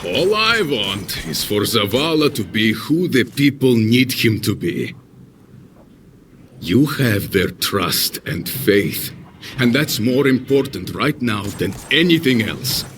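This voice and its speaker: A man playing a noble, earnest warrior peacemaker in an audio drama, speaking in a measured, solemn, oratorical way. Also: on the low side, big pitch swings, noisy background. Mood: earnest, pleading.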